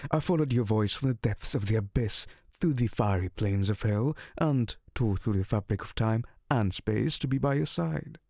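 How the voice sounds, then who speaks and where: A man voicing a gravelly, sardonic incubus. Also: fairly low pitch, moderate pace, hardly any pauses, warm tone, dry recording, clean studio sound. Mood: grandly theatrical. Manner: theatrical, sarcastic and exasperated. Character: exasperated sardonic male incubus demon. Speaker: ASMR roleplay voice actor. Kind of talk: ASMR audio roleplay.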